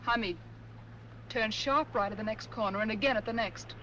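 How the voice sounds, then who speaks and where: An actress playing a cool, scheming female criminal in a 1940s film, with a smooth, composed, probing manner. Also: a little high, normal speed, fairly bright tone, natural voice. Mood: urgent. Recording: dull old film soundtrack with hiss.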